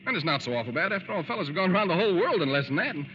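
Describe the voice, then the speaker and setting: A man's voice: high voice, sing-song, racing. An actor playing a nervous, sheepish young newlywed man in old-time radio style, hesitant and stammering. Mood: evasive. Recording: muffled, faintly noisy vintage radio recording.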